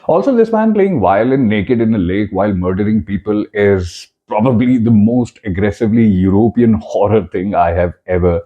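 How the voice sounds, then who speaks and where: A man with an Indian accent narrating a scripted video essay in a dramatic, emphatic way, with deliberate short phrases and switches between two languages. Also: lowish voice, lively intonation, narrow, tinny audio.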